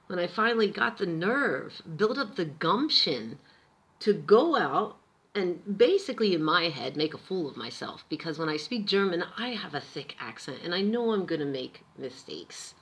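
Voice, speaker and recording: An American woman from Pennsylvania, talking to camera in a chatty, emphatic vlog about her experiences abroad. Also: on the low side. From Pennsylvania, USA. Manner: chatty and emphatic, with lively asides. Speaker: vlogger. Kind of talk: casual storytelling monologue.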